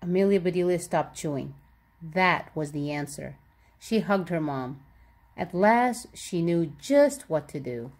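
A woman's voice: lowish voice, expressive, unhurried, darkish tone. A woman reading a children's picture book aloud, expressively and with careful enunciation for young listeners. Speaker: read-aloud storybook narrator.

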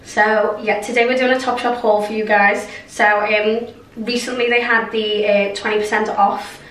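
A young woman, hoarse from a cold, chatting casually through a clothing haul with lots of fillers. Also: a little high, flat delivery, normal speed, fairly bright tone. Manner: chatty, many fillers and likes. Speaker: fashion vlogger. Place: bright bedroom with clothes rail. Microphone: camera mic from across the room. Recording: roomy echo, mic at a distance.

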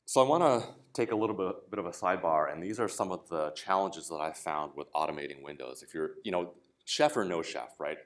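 Very deep-voiced man giving a tech talk. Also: brisk. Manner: casual, explanatory, frequent "right?" and "um". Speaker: conference speaker. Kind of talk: technical conference talk. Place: conference room.

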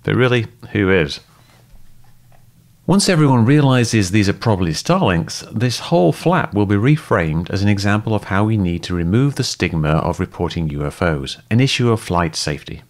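Deep calm man explaining step by step. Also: normal speed. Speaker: host and debunker. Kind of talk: scripted explanatory narration.

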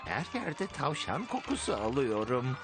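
A man's voice: mid-range voice, very animated, unhurried. A man voicing a hungry, scheming predator animal in a children's cartoon, bossy and exasperated. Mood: greedy. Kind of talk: children's cartoon dub acting. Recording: loud music behind the voice. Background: cartoon music.

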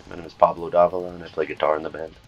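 A man's voice: low voice, flat delivery, very fast, vocal fry, lo-fi sound. A man from Argentina, a guitarist, speaking thoughtfully in an interview, often restarting phrases, in a music studio. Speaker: band guitarist. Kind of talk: casual interview talk.

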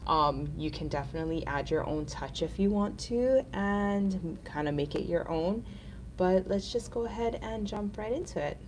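A woman's voice: on the low side, expressive, normal speed, a little mellow, slight background noise. A woman in her thirties hosting a casual live nail art tutorial, chatty and upbeat, sounding nasal from a cold.

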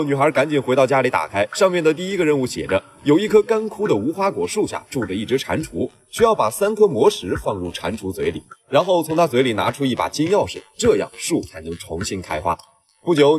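Fast, animated male story narrator. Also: mid-range voice. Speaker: film recap narrator.

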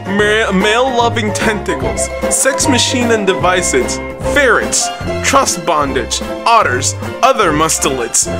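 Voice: medium pitch, lively intonation, measured pace. A giggly person doing a mocking, dramatic comedic reading of text from the internet. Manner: giggly, often breaking up while reading. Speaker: online video maker reading a text aloud. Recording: music behind the voice. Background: music.

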